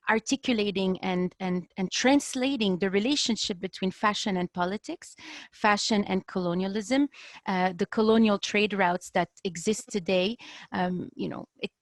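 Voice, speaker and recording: A woman's voice: medium pitch, dry recording. A woman from Lebanon giving unscripted remarks as a panel speaker on a video call, earnest and often searching for words. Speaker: panel speaker, design activist.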